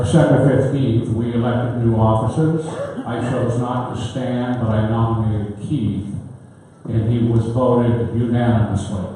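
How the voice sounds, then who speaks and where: A man's voice: mid-range voice, mellow, slight background noise. A man giving a nominating speech at a political convention, speaking plainly with a few halts. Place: large school hall. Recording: echoey hall, distant mic.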